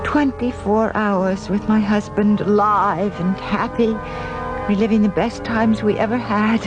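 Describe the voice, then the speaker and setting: Lady doing a gushy genteel widow in a radio play, mid pitch, lingering words, music behind. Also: fairly even intonation, dull audio, close to an everyday voice. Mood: gushing gratitude. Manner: effusive, drawn-out delivery. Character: gushing, grateful, genteel widow. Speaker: radio drama actor. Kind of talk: mannered radio drama acting.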